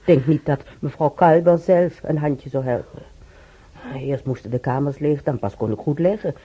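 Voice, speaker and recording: A woman's voice: sits low, moderate pace, mellow, dull audio. A woman playing a talkative, wry old hospital patient and former maid in a radio drama, rambling colloquially and muttering to herself. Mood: indignant, amused. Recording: clearly noisy old radio recording.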